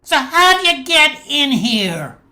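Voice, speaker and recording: A woman's voice: high voice, very animated, slow pace, bright, forceful tone, full character voice. A woman acting an eager little boy undercover cop in an amateur radio play. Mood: suspicious, bold.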